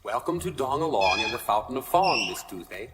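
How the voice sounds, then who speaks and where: A man's voice: medium pitch, very animated, fairly quick, lightly acted. A man playing a courteous alien hotel desk clerk in a radio play, formal and unctuous. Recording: echoing hall, loud background noise.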